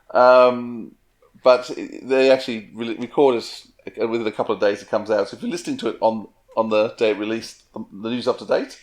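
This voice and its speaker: Male podcast co-host, medium pitch, casual conversational delivery. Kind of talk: casual chat.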